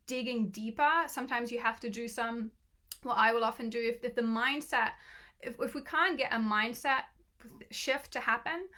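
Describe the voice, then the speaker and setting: Woman coach, bright and fairly high voice, slightly croaky, chatty and encouraging with lots of restarts. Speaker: business coach. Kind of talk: live online training.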